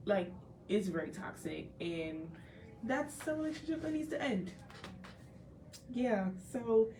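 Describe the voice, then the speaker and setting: A woman's voice: medium pitch, darkish tone, slight background noise. A young woman hosting a casual talk for a live audience, nasal and congested from a cold, chatty and earnest with many restarts. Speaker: host. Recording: a little distant from the mic, faint background ambience.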